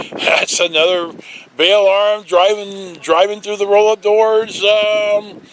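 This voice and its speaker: A high-pitched middle-aged man tells an informal story, halting and doubling back on his words as he wanders along. He sounds like a vlogger chatting off the cuff. Clear urban outdoor noise and vehicle sound run behind him. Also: little pitch movement, fairly bright tone, rough recording. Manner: rambling, halting, often repeating words and losing his thread. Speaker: storytelling vlogger, former alarm company guard. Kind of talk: casual anecdotal storytelling.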